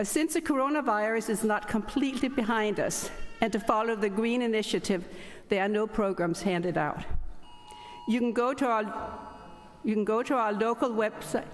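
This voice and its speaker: A woman's voice: mid-range voice, a little hiss or hum. A middle-aged woman from Denmark with a Danish accent, hosting a formal ceremony with chatty asides. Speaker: ceremony host, chapter president. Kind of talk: formal welcome speech. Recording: reverberant hall.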